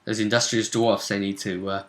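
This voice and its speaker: Bright-voiced man recapping a game. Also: lowish voice, flat delivery, normal speed, echoey room. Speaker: host of a tabletop role-play recap show. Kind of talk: casual recap chat.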